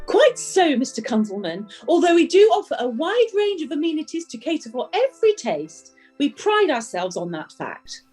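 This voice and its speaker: A polished, gushing woman manager played by an actress in an audio drama. Her voice is extremely high and lilting, formally courteous, over faint music. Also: big pitch swings, normal speed, few pauses, darkish tone. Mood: reassuring. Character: gushing, polished, professional female resort manager.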